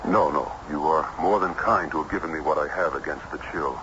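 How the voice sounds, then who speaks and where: An actor playing a courtly, earnest judge in a period radio drama, speaking in a formal, measured, literary manner. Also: male voice, extremely low pitch. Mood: courteous, earnest. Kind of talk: 1970s radio drama acting and narration. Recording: noisy, muffled old radio broadcast recording.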